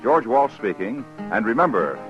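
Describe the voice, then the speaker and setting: Muffled old radio, american guy announcing over music, very lively pitch, formal and polished. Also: moderate pace. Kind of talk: 1950s network radio announcing. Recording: muffled 1950s radio broadcast recording.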